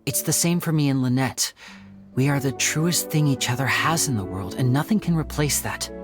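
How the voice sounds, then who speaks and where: A man's voice: mid-range voice, moderate pace, lightly acted. A man voicing a suave young stage magician. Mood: earnest. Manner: theatrical, playful showman, soft reflective narration. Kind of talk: video game voice acting. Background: game music.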